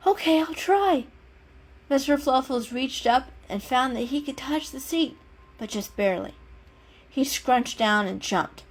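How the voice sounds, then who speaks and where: A woman's voice: high voice, unhurried. A woman reading a children's story aloud as dramatic narration, in a storyteller's style.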